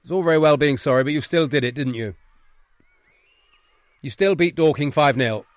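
A man playing a stern headmaster in a comedy sketch, scolding schoolboys in a loud, emphatic, repetitive way. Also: on the high side, normal speed, no room sound, natural voice.

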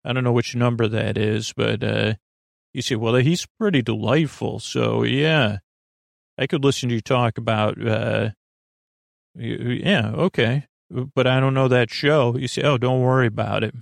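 A man hosting a podcast in a rambling, informal bedtime monologue, halting often, interrupting himself and drifting into tangents. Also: mid-range voice, gravelly, dry recording, studio quality. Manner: meandering, halting, self-interrupting with trailing tangents. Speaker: podcast host.

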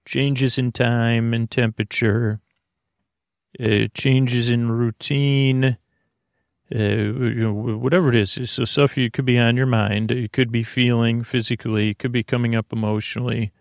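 A man with a creaky voice hosting a bedtime podcast in a soothing, meandering monologue, halting and stammering through false starts. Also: mid-range voice, level tone, no room sound, clean studio sound. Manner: lulling, soothing. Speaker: podcast host.

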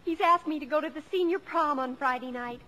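Dramatic American teenage daughter, high voice, earnest, pleading, exclamatory, 1950s radio comedy. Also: female voice, fairly quick, fairly bright tone, low-quality recording. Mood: excited.

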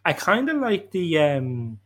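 A man's voice: fairly high pitch, big pitch swings, takes it slowly. A man on a podcast panel chatting casually with a dry, joking delivery. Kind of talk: casual banter.

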